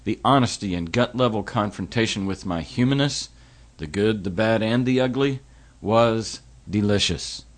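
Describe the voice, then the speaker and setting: A middle-aged man hosting a podcast, talking in an earnest, reflective way that turns halting when he speaks of personal matters. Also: fairly low pitch. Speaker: podcast host. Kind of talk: podcast intro and interview.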